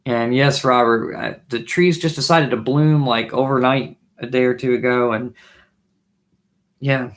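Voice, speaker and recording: A man in his thirties, hoarse from losing his voice, chatting casually on a live stream from a small home music room, musing and restarting his sentences. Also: medium pitch, fairly even intonation, unhurried, fairly bright tone. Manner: musing, trailing off with restarts while playing. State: losing his voice, throat clearing. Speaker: livestream host and bassist. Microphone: webcam mic at desk distance, wears headphones.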